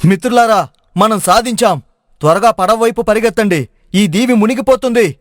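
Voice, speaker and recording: A man's voice: high-pitched, normal speed, dry recording, clean studio sound, close to an everyday voice. A man playing a wise village scholar on a quest in an audio storybook, speaking earnestly and emphatically. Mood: urgent, triumphant. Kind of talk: dramatic audio storybook acting.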